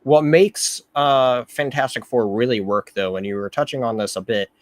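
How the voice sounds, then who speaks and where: A man's voice: mid-range voice, moderate pace, no room sound. A man hosting a casual film review chat, talking in an easygoing way. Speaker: host of a film review show.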